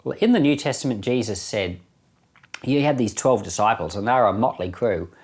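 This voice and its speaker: A man's voice: lowish voice, expressive, fairly quick, a little mellow. Middle-aged man, informal Bible teacher. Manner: conversational, explanatory, repeats phrases for emphasis. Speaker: pastor and Bible podcast host.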